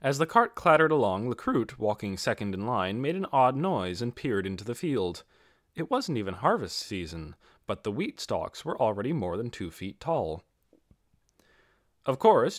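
A man's voice: fairly low pitch, big pitch swings, moderate pace, no room sound, studio quality. A man narrating a fantasy audiobook from a written script.

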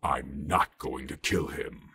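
A man playing a cold, threatening masked TV villain in slow, deliberate words, with electronic processing on the voice. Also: noisy background, full character voice. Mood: cold menace. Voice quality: very deep, processed, rough. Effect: pitch-lowered voice, some with distortion or chorus. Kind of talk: TV supervillain impression.

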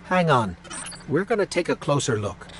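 An actor voicing a small, helpful robot companion in a video game, curious, earnest and conversational. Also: male voice, a little high, big pitch swings, moderate pace, very noisy. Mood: alert, curious. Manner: conversational, inquisitive.

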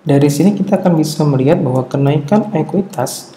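A man narrating a step-by-step tutorial as an instructor, halting and deliberate, thinking aloud as he works. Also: fairly high pitch, dark tone. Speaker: accounting instructor. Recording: roomy echo, dull muffled tone, faint noise.

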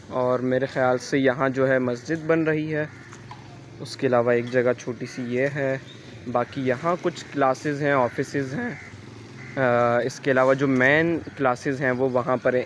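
A man's voice: medium pitch, steady tone, a little slow, slight background noise. Young man touring a campus, hesitant. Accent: Urdu-speaking, Karachi. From Pakistan. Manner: hesitant, thinking aloud with frequent "uh" fillers. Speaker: student vlogger giving a campus tour. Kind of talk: casual walking tour narration. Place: outdoors on a construction site. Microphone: handheld phone camera.

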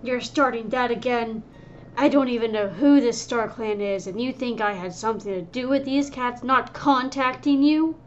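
A woman's voice: mid-range voice, animated, normal speed, hardly any pauses, a little mellow, roomy sound, in a large hall, dull audio, lightly acted. A woman voicing Firesayer, a big defensive tomcat. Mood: indignant. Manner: indignant, hissing. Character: large male tomcat newcomer, defensive.

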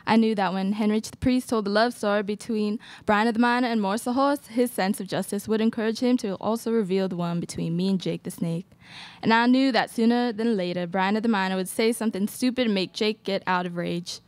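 A woman's voice: mid-range voice, steady tone, brisk, no echo, natural voice. A woman acting a scheming, gold-hungry Old West wife in a student radio play. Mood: smug scheming. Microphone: stand microphone.

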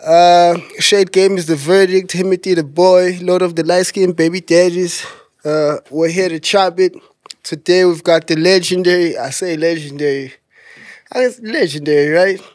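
A man's voice: high voice, steady tone, moderate pace, no echo. A young man hosting a podcast, joking and slangy, hyping up his guest in casual chat. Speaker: podcast host.

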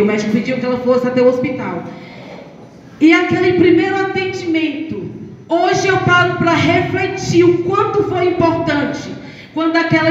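A middle-aged woman speaks with passion into a handheld microphone, her voice high and bright. She is heard from far back in a large, echoing hall, with a long reverb tail and faint room noise behind her. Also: lo-fi sound. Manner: impassioned, testimonial, raising voice at peaks. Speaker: health council member. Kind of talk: emotional public speech. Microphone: handheld mic, filmed from far off through speakers. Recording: echoey hall, far from camera.

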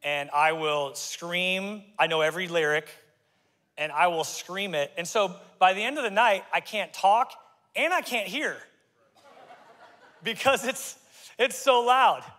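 A man in his forties, a pastor preaching an energetic, joking sermon into a handheld mic on a large church stage. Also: sits high, a little slow. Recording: live sermon in a large hall with faint music.

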